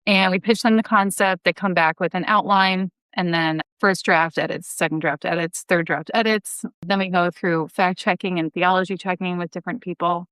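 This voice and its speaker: A woman's voice: fairly low pitch, steady tone, moderate pace, hardly any pauses, a bit bright, clean tone, no room sound, poor audio. A woman in her thirties speaking enthusiastically and emphatically as an interview guest, in a conversational way. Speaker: podcast guest, audio drama writer.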